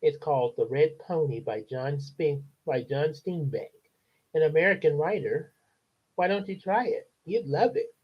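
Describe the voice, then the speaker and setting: A man voicing a gentle, earnest little girl who pleads softly. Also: fairly high pitch, lively intonation, unhurried, husky. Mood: pleading. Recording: dull lo-fi recording.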